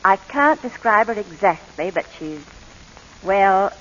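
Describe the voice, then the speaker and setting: An actress playing a brisk, courteous but firm middle-aged orphanage inspector in a 1940s radio drama. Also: female voice, mid-range voice, animated. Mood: gently persuasive. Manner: composed, polite, businesslike. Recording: dull, lo-fi 1940s radio recording.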